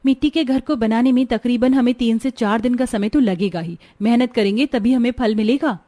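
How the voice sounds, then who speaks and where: Woman playing an earnest, high-pitched village housewife. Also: a little fast, warm tone, lightly acted. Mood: encouraging. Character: village women, mother-in-law and daughters-in-law, earnest and practical. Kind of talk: scripted cartoon story dialogue.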